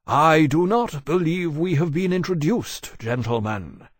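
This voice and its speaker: A man's voice: fairly high pitch, lively intonation, measured pace, breathy edge, dry recording, pitched above the performer's own voice. A man voicing an aloof traveler who speaks in frigidly aristocratic tones. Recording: dry close mic.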